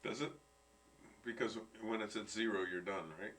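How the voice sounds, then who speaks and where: A man in his sixties chatting casually, reasoning things out in a quick, matter-of-fact way. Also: on the low side, fairly even intonation, fairly bright tone, far from the mic, slight background noise. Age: 60s. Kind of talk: casual chat.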